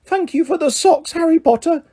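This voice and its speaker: A reader voicing a loyal, nervous male house elf with a high, earnest voice. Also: brisk, dark tone, lightly acted. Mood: anxious. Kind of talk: audiobook character voice.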